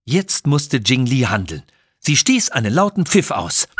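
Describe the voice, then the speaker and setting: Animated, husky male storyteller. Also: mid-range voice, no echo, clean studio sound. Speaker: narrator. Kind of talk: scripted dramatic storytelling for children.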